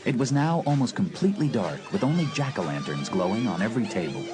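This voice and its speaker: A man narrates a suspenseful audiobook in a fairly low voice. His delivery swings dramatically in pitch. Music is clearly heard behind him. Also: big pitch swings, fast.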